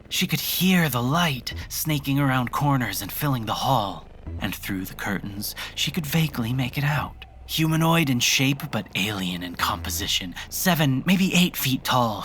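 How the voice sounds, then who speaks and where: A narrator reading scripted horror fiction in a measured, literary storytelling manner. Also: mid-range voice, expressive, a bit bright. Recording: faint noise and ambience behind voice.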